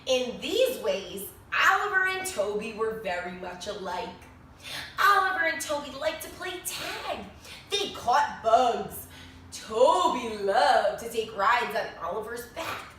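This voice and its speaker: A woman reading a picture book to young children in an expressive, sing-song way, pausing to explain things and ask questions. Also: on the high side. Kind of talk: animated read-aloud story for young children. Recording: very echoey room, distant mic, faint noise.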